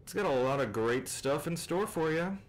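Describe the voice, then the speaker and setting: A man's voice: on the high side, animated, moderate pace, lightly acted. A man playing a flippant, breezy friend leaving a casual voicemail in an audio roleplay.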